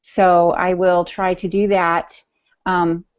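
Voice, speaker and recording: A woman's voice: lowish voice, level tone, takes it slowly, smooth, lo-fi sound. A woman co-hosting a writing podcast, chatting in an easygoing, practical way. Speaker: podcast co-host. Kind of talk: casual writing podcast chat.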